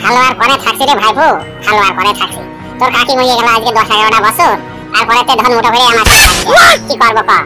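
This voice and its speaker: A woman's voice: a little high, quick pace, over music, natural voice. A woman voicing a nosy, bawdy widowed older village uncle in a cartoon comedy, prying in coarse slang.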